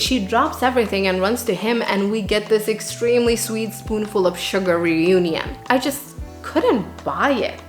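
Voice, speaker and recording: A woman giving an earnest, opinionated book review with emphatic phrasing, in the manner of a reviewer speaking to followers. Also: on the high side. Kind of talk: dramatic spoken book review. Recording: echoey room with music underneath. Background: background music.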